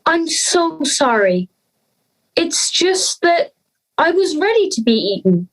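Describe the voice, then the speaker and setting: A woman's voice: very high voice, sing-song, slow, exaggerated voice, rough recording. A young woman playing an earnest, anxious schoolgirl in an audio drama. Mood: bemused, apologetic.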